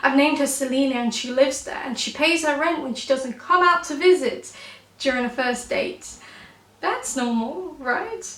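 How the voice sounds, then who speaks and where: Croaky-voiced young woman, roomy. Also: high voice, normal speed, fairly bright tone, recorded from a distance. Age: 20s. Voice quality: croaky, blocked-nose voice. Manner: wry, self-mocking, punchy delivery. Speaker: spoken word poet. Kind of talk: spoken word poetry performance.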